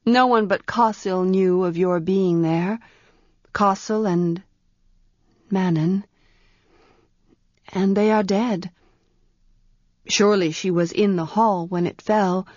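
A woman's voice: mid-range voice, steady tone, slow, pauses often, darkish tone, dry recording, natural voice. A woman reading an audiobook, voicing an earnest young former priestess who asks breathless, wondering questions. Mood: anxious. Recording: dry, slightly dull audiobook recording.

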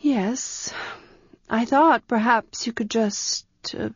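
A woman's voice: on the high side, slow, breathy edge, no echo, pitched above the performer's own voice, full character voice. A woman reading an audiobook, voicing an earnest young former priestess who asks breathless, wondering questions. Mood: timid, curious. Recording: dry, slightly dull audiobook recording.

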